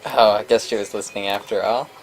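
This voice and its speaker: A woman's voice: very deep voice, fairly even intonation, fast talker, creaky, faint music behind, low-quality recording. A woman giving casual, joking game commentary as a let's play co-host. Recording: faint background noise.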